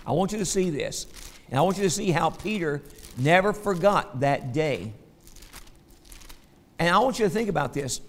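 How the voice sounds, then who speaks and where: A man's voice: on the high side, lively intonation, moderate pace, pauses often, long reverb tail. A man with a Southern American accent preaching a sermon, folksy, checking in with the congregation with "okay?".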